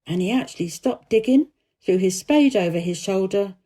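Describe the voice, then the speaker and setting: A woman's voice: mid-range voice, expressive, moderate pace, warm tone. A woman reading a children's story as scripted narration.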